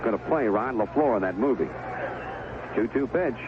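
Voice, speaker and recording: An American man calling baseball play-by-play on the radio, in clipped phrases with unhurried pauses. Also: medium pitch, expressive. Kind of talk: live baseball radio commentary. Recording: tinny, noisy 1970s AM radio broadcast.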